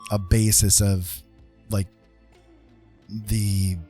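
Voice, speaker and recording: A man speaks in a fairly low voice over soft background music. He hosts a casual art stream, talking through each step as he goes. His delivery is halting and rambling, like someone working things out aloud. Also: flat delivery. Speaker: livestream host, digital artist. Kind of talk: casual chat while drawing on a livestream.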